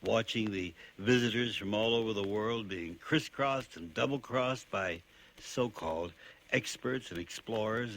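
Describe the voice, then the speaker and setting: A man playing a skeptical playwright, narrating his safari in measured, wry phrases for a radio drama. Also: lowish voice, fairly bright tone.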